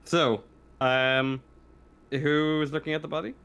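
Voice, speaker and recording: A man's voice: a little high, steady tone, slow, a bit bright, clear, resonant tone. A man running a casual tabletop game as game master, with a joking, profane way of talking.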